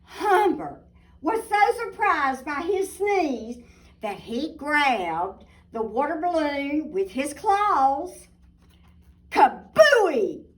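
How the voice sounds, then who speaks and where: A woman reading a children's picture book aloud expressively, with dramatic pauses and asides to her listeners. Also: high voice, big pitch swings. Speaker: storybook reader. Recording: voice distant from the mic.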